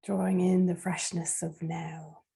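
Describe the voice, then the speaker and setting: Slow, husky woman guiding a meditation. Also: fairly low pitch, flat delivery. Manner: soft, soothing, unhurried with long pauses. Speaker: meditation guide. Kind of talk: guided meditation.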